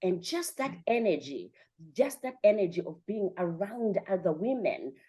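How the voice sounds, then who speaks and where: A woman's voice: medium pitch, big pitch swings, moderate pace, dark tone, slightly rough. A woman hosting a motivational interview, speaking in an emphatic, encouraging way and piling up repeated phrases. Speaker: podcast host and coach.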